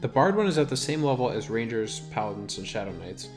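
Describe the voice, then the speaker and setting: A man's voice: mid-range voice, sing-song, fast, music in the background. A man giving casual, rambling gameplay commentary as a video host, thinking aloud as he plays.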